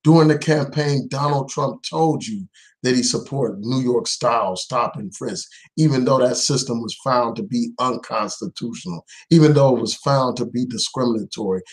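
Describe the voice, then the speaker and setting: A man with a hoarse voice from a cold, hosting a live stream and giving earnest, emphatic political commentary. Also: mid-range voice. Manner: earnest, emphatic, speaks out without fear.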